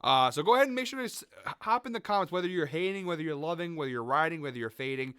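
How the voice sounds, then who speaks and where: A man hosting a sports betting show, chatting casually through his picks while sick, with a raspy, nasal voice. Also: a little high, expressive, rapid-fire, fairly bright tone, no room sound, studio quality. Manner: conversational, hedging, frequent fillers. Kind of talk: casual picks commentary to camera.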